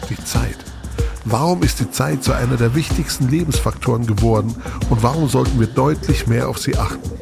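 A German man in his forties co-hosting a podcast, talking in a relaxed, reflective way with some fillers. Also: mid-range voice, a little fast, vocal fry, over music. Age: 40s. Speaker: podcast co-host. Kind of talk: casual podcast conversation.

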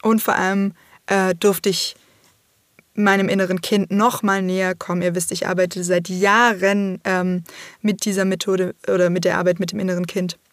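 A woman with a slightly hoarse voice talks candidly and casually, as a podcast host, sprinkling in fillers and swear words. Her pitch sits in the middle range. The recording is dry and close, with no room sound. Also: little pitch movement, normal speed, dry recording. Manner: open, casual, swears freely, many fillers. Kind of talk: candid personal monologue.

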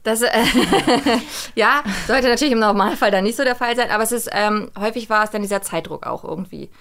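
A woman's voice: a little high, fairly quick, gravelly, a bit bright. A woman speaking casually and colloquially as a guest in an interview, explaining her craft as a voice actress and director.

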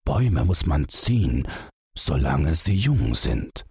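A man's voice: very deep voice, very animated, slow, vocal fry, dark tone, breathy edge, dry recording, studio quality, exaggerated voice. A man voicing an old peasant farmer who is weary and stern. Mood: patient.

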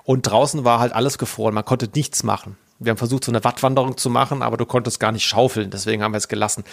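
A man's voice: mid-range voice, steady tone, rapid-fire, creaky. A man with a mid-range voice co-hosts a casual podcast. He tells personal stories in a loose, rambling manner, readily making himself the butt of them. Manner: self-deprecating, rambling storytelling. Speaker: podcast host.

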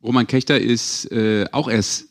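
A man's voice: medium pitch, fairly even intonation, a little slow. A man hosting a sports podcast in a casual, conversational chat style. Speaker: podcast host.

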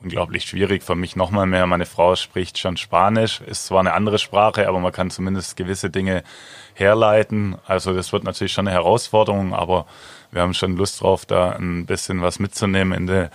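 A man with a very low, level voice speaks in an easygoing, halting way, filling gaps with small hesitations. The sound is close and dry, with no room around it. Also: no echo. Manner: relaxed, hesitant, many fillers. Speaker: handball player, guest. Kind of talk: casual interview answers.

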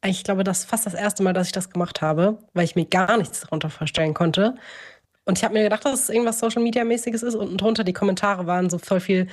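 A woman's voice: mid-range voice, fast talker. A woman co-hosting a podcast in a casual chat, talkative and often correcting herself mid-sentence. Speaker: podcast co-host.

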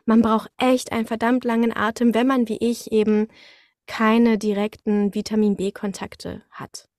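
Higher-voiced young woman, closely recorded. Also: level tone, unhurried, darkish tone, no room sound, clean studio sound. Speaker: interview guest, voice actor. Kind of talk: casual podcast conversation.

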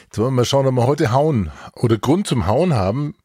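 A man's voice: medium pitch, expressive, fairly quick, dark tone, breathy edge, no echo, clean studio sound. A man hosting a casual podcast chat in a jovial, teasing way. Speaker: podcast host. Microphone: close studio mic with headset.

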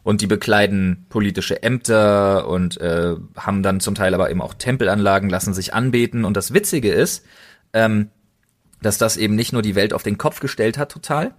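A man's voice: lowish voice, moderate pace. A man hosting a casual podcast, recommending things in an enthusiastic, colloquial way. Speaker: podcast host.

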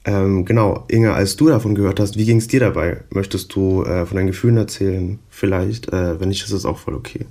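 A man's voice: sits low, fairly even intonation, fairly quick, hardly any pauses, mellow. A man co-hosting a podcast, reading the intro and then chatting hesitantly with many fillers. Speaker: podcast co-host.

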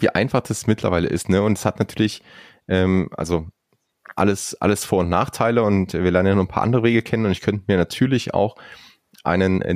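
A man's voice: deep voice, darkish tone, dry recording, clean studio sound. A man hosting a podcast, chatting in an upbeat way with frequent fillers and restarts. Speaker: podcast host. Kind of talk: casual podcast chat.

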